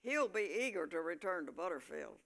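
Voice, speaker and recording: An older woman narrating a staged play from a script, reading slightly haltingly. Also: lowish voice, very animated, vocal fry, fairly bright tone.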